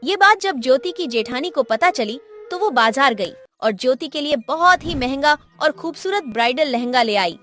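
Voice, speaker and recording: A woman's voice: sits high, expressive, normal speed, few pauses, a bit bright, faint music behind. A woman narrator reading a scripted moral story.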